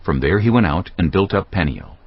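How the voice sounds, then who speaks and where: Very deep male Bible narrator. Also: lively intonation, a little fast, husky. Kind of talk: dramatised scripted Bible narration. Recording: muffled audio under prominent music.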